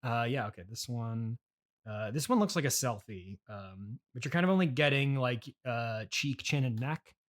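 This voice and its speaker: Halting, awkward man hosting a comedy podcast. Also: mid-range voice, animated. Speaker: podcast host. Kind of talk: improvised comedy interview.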